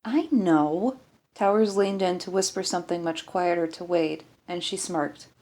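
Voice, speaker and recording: A woman's voice: on the low side, steady tone, normal speed, a little mellow. A woman reading scripted fiction aloud as an audiobook narrator.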